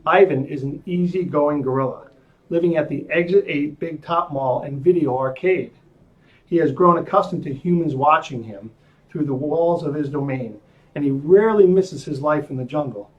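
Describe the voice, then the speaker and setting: A man's voice: a little high, normal speed, muffled recording. A man, a school superintendent, introducing a children's book and reading its summary aloud.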